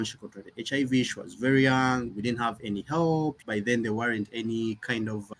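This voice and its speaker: A young man from Uganda, a nonprofit founder talking earnestly and reflectively in an interview, often restarting his sentences. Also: medium pitch. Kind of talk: conversational interview.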